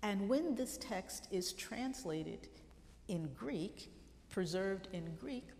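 A woman's voice: mid-range voice, slow pace. Woman lecturing in a reverberant hall. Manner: animated, gesturing, emphatic lists. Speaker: biblical scholar and lecturer. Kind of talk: conference lecture. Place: church hall stage, large room. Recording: long hall reverb, faint room noise.

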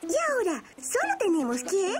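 A woman voicing a tiny, curious, excitable ladybug in a children's cartoon, squeaky and eager. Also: normal speed, over music, full character voice. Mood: curious, delighted.

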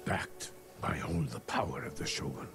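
An actor playing a stern, proud older samurai lord, formal and commanding, in a dramatic video game. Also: male voice, moderate pace, lightly acted. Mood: solemn pride. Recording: game scene with loud music behind.

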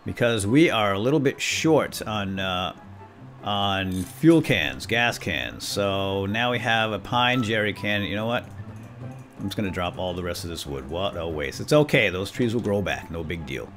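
Man, folksy and chatty gaming host, bright forward voice, casual play-by-play over music. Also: on the low side, lively intonation, measured pace. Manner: folksy, chatty, talks directly to viewers. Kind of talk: casual gameplay commentary with light role-play.

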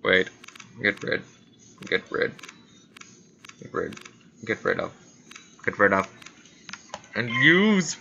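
A man's voice: lowish voice, very animated, slow, creaky, long pauses, bright, forceful tone, muffled recording, a little hiss or hum. A man giving casual, playful gameplay commentary, thinking aloud in short bursts and exclamations. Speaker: gamer recording gameplay.

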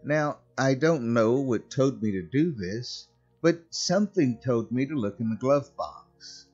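A man's voice: mid-range voice, expressive, a little slow, darkish tone, slightly rough, lo-fi sound. A man narrating a scripted first-person horror story as a storyteller. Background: background music.

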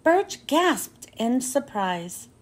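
A woman's voice: high-pitched, big pitch swings, very slow, a little mellow, husky. A woman reads a children's storybook aloud, phrasing carefully and expressively for young listeners. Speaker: children's book reader and host.

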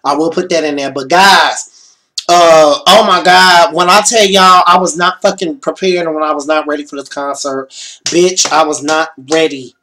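Animated young man, slightly hoarse. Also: on the high side, normal speed. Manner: animated, swears a lot, repeats himself. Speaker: vlogger. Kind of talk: excited concert reaction vlog. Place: small bedroom.

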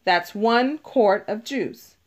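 A woman reading a children's picture book aloud, deliberately, stressing key words as she teaches. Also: mid-range voice. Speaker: read-aloud narrator.

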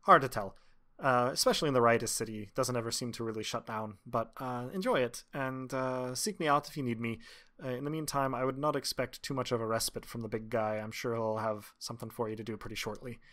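A man's voice: medium pitch, brisk, sharp tone, close to an everyday voice. A breezy, flirtatious woman official, played by a man at a tabletop game. She teases in an easy, conversational way. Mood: friendly, breezy. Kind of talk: improvised tabletop game acting.

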